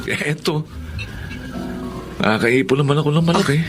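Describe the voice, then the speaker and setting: An actor playing an earnest, dutiful grown-up youngest child in a Filipino radio drama, speaking deliberately. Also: male voice, a little high, music in the background, natural voice. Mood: confident, sincere. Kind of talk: Tagalog radio drama acting with English phrases.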